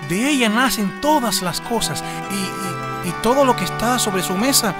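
A man voicing a humble, worried peasant father in an animated folk tale, meek and hesitant. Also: high-pitched, moderate pace, over loud music.

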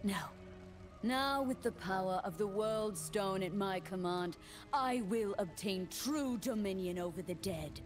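An actress playing a cold, haughty, ambitious death mage in a fantasy game, menacing and deliberate. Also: female voice, medium pitch, music in the background, close to an everyday voice. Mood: haughty defiance. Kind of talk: dramatic fantasy game acting.

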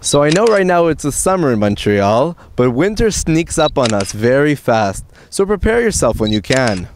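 A man's voice: mid-range voice, moderate pace, slight background noise. A young man in his twenties, a student host, giving friendly tips straight to the viewer. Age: 20s. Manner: lively, friendly, addressing viewer. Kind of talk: upbeat guide to camera.

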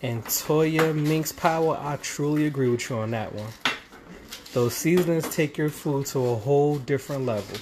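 A man's voice: on the high side, normal speed. A man reading viewer comments aloud during a cooking livestream, greeting each viewer in a friendly, conversational way. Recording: frying and sizzling noise behind.

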